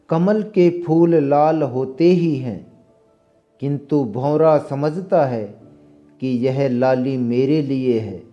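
A man giving a scripted, solemn and deliberate narration, as in a recital of verse and commentary. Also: mid-range voice, muffled recording. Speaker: narrator reciting Sanskrit verses and explaining them. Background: instrumental music.

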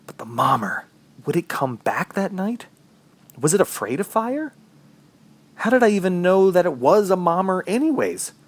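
Husky, desperate stranded man played by a man. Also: high-pitched, sing-song, normal speed, heavily acted. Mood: doubtful, uneasy. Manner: dramatic, self-questioning, swinging from muttering to shouting. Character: trapped, injured man fighting to survive, desperate and rattled. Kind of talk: first-person horror audio drama narration.

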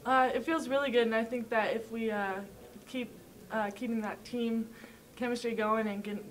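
A young woman basketball player of about twenty giving short, halting post-game answers with restarts. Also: fairly high pitch, steady tone, a little hiss or hum. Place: press conference room. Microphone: table mic.

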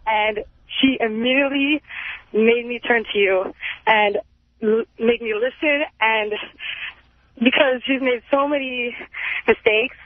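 A woman's voice: a little high, no room sound. A seventeen-year-old female caller talks casually on a thin phone line. Her manner is gushing and a bit hesitant. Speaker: radio show caller. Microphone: telephone. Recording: tinny phone line on radio.

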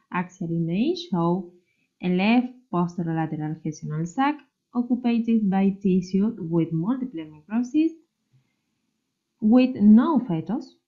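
Woman presenter, slow and halting, dark muffled lo-fi sound. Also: mid-range voice. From Argentina. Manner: halting, careful, non-fluent English. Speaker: medical resident presenting a case. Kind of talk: reading a case presentation.

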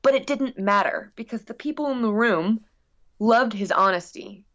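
A woman's voice: on the high side, normal speed, fairly bright tone, muffled recording. A woman guest chatting casually in an interview about her work as a musician, rambling expressively with frequent asides.

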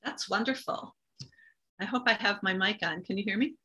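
A woman's voice: medium pitch, normal speed, bright, forceful tone, husky. A woman hosting a webinar on a video call, friendly and a little apologetic. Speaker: webinar host.

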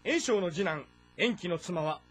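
A man's voice: high voice, expressive, a little slow, a bit bright, slightly rough, soft background music, heavily acted. A man playing an eager young nobleman in a historical game drama, formal and earnest. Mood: eager, respectful.

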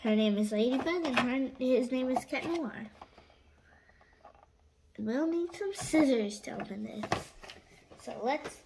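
A young girl with a high voice reviews a toy in a casual, hands-on way. She talks slowly, and the home recording has clear background noise with clicks. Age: child. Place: indoor room at a table.

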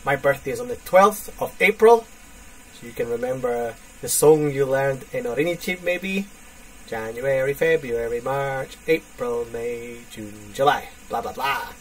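A man in his thirties teaching a basic English lesson, speaking slowly and repeating phrases for learners. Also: medium pitch, big pitch swings. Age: 30s. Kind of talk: online English lesson for students. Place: indoors, small room. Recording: distant webcam mic with faint hiss.

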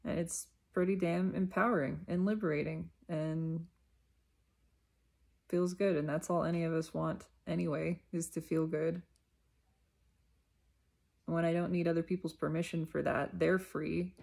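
A woman giving a reflective self-help monologue, unhurried and emphatic, often repeating her phrases. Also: low-pitched, steady tone, vocal fry, a little mellow, slightly rough.

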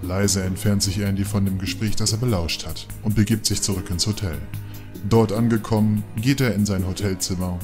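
A man's voice: fairly low pitch, fairly even intonation, moderate pace, music in the background. A man narrating a scripted audio drama as its storyteller.

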